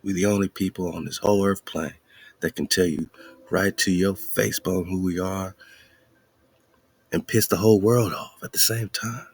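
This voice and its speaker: A man's voice: sits low, steady tone, normal speed, gravelly, slightly rough, dry recording. A man hosting an internet radio show, commenting loosely and preachily on an article he reads aloud and laughing between points. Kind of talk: casual commentary over a read-aloud article.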